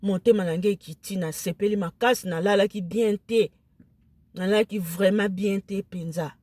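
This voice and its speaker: A woman with a Lingala accent, a native Lingala speaker, talking casually to camera, emphatic and excited, switching into French. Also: mid-range voice, normal speed, dry recording. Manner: emphatic, excited, mixes French into her speech.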